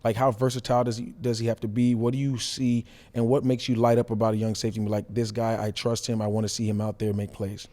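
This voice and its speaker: A man's voice: lowish voice, level tone, quick pace, few pauses, warm tone. An American man co-hosting a sports show, asking casual interview questions.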